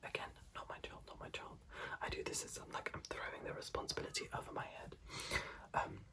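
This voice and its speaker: A person whispering a personal story in a casual, chatty ASMR style, acting out bits of dialogue. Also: fairly quick. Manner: whispering throughout, acts out quoted exchanges.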